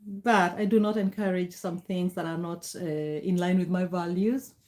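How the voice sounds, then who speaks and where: A woman's voice: lowish voice, fairly even intonation, normal speed, a little mellow. A middle-aged woman from Kenya with a Kenyan accent, a native Kikuyu speaker, chatting casually on a livestream, greeting viewers by name and thanking them. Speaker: livestream host. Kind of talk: casual live chat reading comments.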